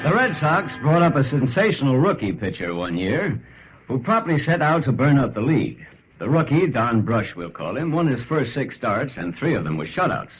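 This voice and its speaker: An actor playing an enthusiastic sports reporter who narrates in an earnest, punchy old-time radio style with an American accent. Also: male voice, mid-range voice, big pitch swings, moderate pace, faint music behind, natural voice. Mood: dramatic storytelling. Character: enthusiastic male sports reporter, the ballplayer's pal. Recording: muffled, hissy old radio recording.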